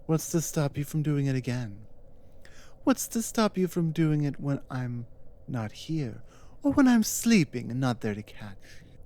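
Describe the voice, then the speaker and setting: Casting: performer as dominant giantess captor for ASMR roleplay; very slow, deliberate delivery, stern, taunting, condescending. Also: medium pitch, dark tone.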